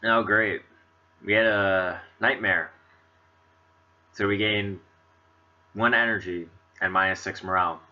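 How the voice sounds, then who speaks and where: A man's voice: on the low side, fairly even intonation, takes it slowly, pauses often, pushed and bright, highs cut off. A man with a stuffy, congested nose, rambling chattily in casual commentary on a game he is playing. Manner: rambling, chatty, many fillers. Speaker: let's play host.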